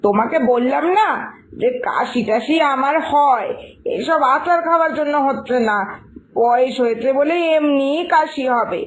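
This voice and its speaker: A woman's voice: high-pitched, moderate pace, lightly acted, low-quality recording. A woman playing a stubborn, ageing mother-in-law in a cartoon, with a coaxing, exaggerated delivery. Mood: stubborn, dismissive. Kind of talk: scripted cartoon dialogue.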